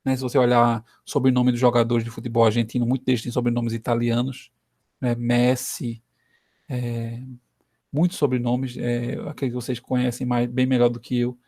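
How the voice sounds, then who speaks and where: A man's voice: medium pitch, monotone, normal speed, dark tone, no room sound. Brazilian man teaching history, dry sound. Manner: conversational, frequent "né" tag questions. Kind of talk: recorded history lesson.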